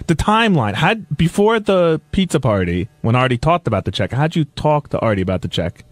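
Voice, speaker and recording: A man's voice: a little high, sing-song, a little fast, no echo. A man on a radio panel, questioning someone in a casual, unscripted way.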